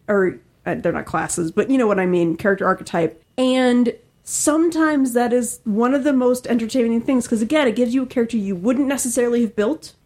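Higher-voiced woman chatting on a panel. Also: darkish tone. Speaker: podcast panelist. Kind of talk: casual panel discussion.

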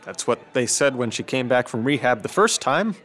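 A man's voice: mid-range voice, lively intonation, moderate pace, fairly bright tone, close to an everyday voice. A man acting in a radio drama as a frustrated, bitter teenage son with a cynical, sardonic manner. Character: bitter, frustrated teenage son, high school senior age.

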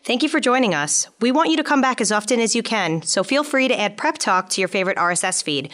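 A woman's voice: on the high side, lively intonation, fast talker, a bit bright. A woman co-hosting a podcast and interviewing guests in a conversational style. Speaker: podcast co-host and communications director.

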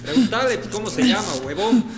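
A man's voice: high-pitched, animated, a little fast, a crowd in the background. An actor playing a foul-mouthed Bolivian butcher and crime boss who poses as a civilized businessman in a radio drama, bossy and irritable. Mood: impatient, commanding. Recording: reverberant hall sound with background noise.

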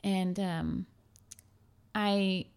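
A woman's voice: mid-range voice, steady tone, extremely slow, a little mellow, clean tone. A woman from Germany, a podcast guest, talking reflectively with many hesitations and restarts. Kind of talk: casual conversation.

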